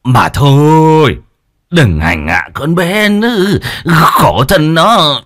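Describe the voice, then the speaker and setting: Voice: mid-range voice, big pitch swings, drawn-out words, fairly bright tone, voice pushed up, heavily acted. A narrator with a Northern Vietnamese accent voicing a sheepish, lecherous middle-aged master who hems and stalls. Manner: sheepish, hemming, chuckling.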